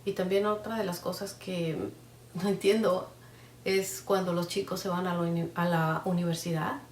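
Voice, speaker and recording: Measured, thoughtful woman interviewee. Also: lowish voice, flat delivery, distant mic, a little hiss or hum. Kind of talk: reflective interview answers. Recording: echoey room, off-mic.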